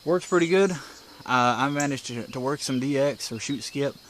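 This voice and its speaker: A man's voice: mid-range voice, moderate pace. A man giving a casual, step-by-step walkthrough in a plainspoken, folksy way, recorded outdoors. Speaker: hobbyist presenter. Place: outdoors beside a house porch. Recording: outdoor recording with clear insect and bird noise. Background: insects, birds, outdoor ambience.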